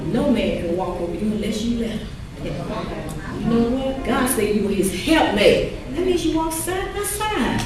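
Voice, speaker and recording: A woman acting as an earnest, emphatic wife or friend in a stage drama, in a high voice. The sound is distant and very echoey, with music behind. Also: expressive, unhurried. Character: women in emotional confrontation over abusive marriage. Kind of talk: live stage play acting.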